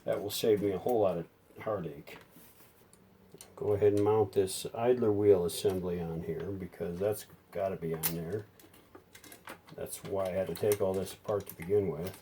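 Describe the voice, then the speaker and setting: A man's voice: on the low side, darkish tone, slight background noise. A man narrating a hands-on repair unscripted, thinking aloud in a folksy way. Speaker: hobbyist repairer presenting a how-to video.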